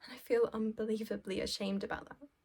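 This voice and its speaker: A woman performing a stage monologue as a self-absorbed, well-off young office worker, shaken, halting and sweary as she recounts events. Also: on the high side, flat delivery, a little mellow. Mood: distressed, ashamed. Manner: halting, sweary, breathy drops into near-whisper. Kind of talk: dramatic stage monologue, conversational first-person recounting.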